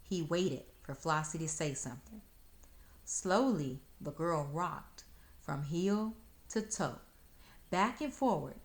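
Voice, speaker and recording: A woman reading a children's story aloud in expressive narration. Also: deep voice, takes it slowly.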